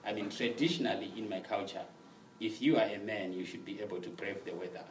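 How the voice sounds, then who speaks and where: A young man from Zimbabwe, speaking softly and with measured pacing as a guest telling his story, often laughing. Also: lowish voice, fairly even intonation, over a noisy crowd. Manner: soft-spoken, measured, laughs easily. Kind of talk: conversational storytelling interview. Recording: roomy sound from a distant mic.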